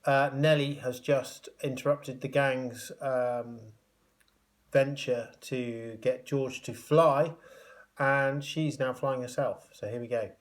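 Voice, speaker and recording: A man narrates a children's book in the manner of a primary teacher at story time. His voice sits at a middle pitch, and he tells it expressively, leaning on the dramatic words. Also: steady tone, slow pace. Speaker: primary school teacher reading aloud.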